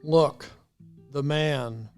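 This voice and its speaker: A woman voicing a stern male Roman governor, speaking slowly and gravely in a scripture reading. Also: low-pitched, a little mellow, faint music behind, pitched below the performer's own voice.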